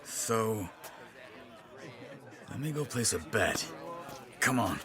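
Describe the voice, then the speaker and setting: A low, forceful voice for a weary, guarded fighter in a game cutscene. He is earnest and understated, speaking plainly and haltingly. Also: lively intonation, a faint crowd. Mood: guarded, resigned. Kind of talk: dramatic video game cutscene acting.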